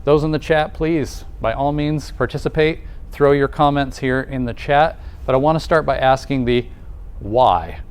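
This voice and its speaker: Male presenter, mid-pitched, lively conversational delivery with rhetorical questions, live audience in the room. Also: moderate pace, smooth. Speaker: presenter at a nursing college welcome event.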